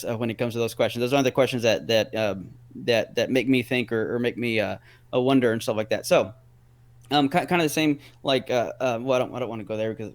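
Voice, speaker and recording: A man's voice: mid-range voice, dry recording. A man as a guest in a casual debate, rambling and repeating words as he reasons step by step.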